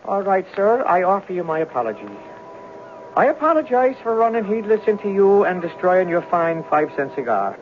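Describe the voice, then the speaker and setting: An actor playing a hot-tempered, lovesick Irishman in a 1970s radio drama, theatrical and quick to take offence. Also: high voice. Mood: taken aback. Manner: excitable, theatrical, indignant. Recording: dull, hissy 1970s radio broadcast recording.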